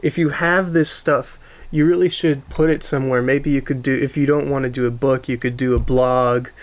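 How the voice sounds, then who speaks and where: A man hosting a casual podcast conversation, talking haltingly and circling back to rephrase with keen interest. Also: mid-range voice, steady tone. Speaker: podcast host.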